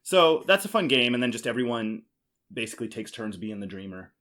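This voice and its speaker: A man's voice: mid-range voice, very animated, brisk, a bit bright. A man hosting a casual board game podcast, explaining in a teasing, jokey way. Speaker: podcast host.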